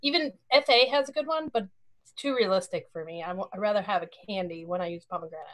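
A woman's voice: mid-range voice, fast. A woman panelist chatting casually on a video call. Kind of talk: casual chat.

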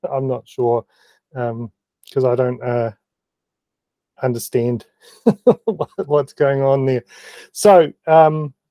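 A man with a New Zealand accent casually explaining photo-editing experiments on a video call, halting with many ums and small self-deprecating laughs. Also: mid-range voice, mellow, no echo. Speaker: meeting participant presenting photo-editing experiments.

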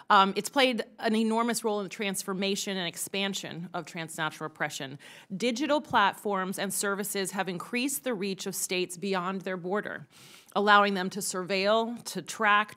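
A woman in her forties giving earnest, measured prepared testimony as an expert witness, into a desk microphone in a large congressional hearing room. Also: mid-range voice, a bit bright.

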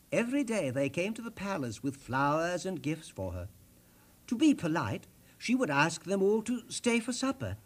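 Theatrical kids storyteller guy, highish voice, super animated, dramatic careful phrasing. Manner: dramatic, deliberate phrasing with direct questions to listeners. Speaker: storyteller host.

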